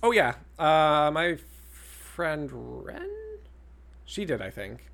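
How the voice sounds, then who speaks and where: A podcast co-host chatting casually, giggly, saying "like" constantly and breaking into loud laughter. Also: mid-range voice, sing-song, takes it slowly. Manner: giggly, heavy use of "like", bursts of loud laughter. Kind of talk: casual chat.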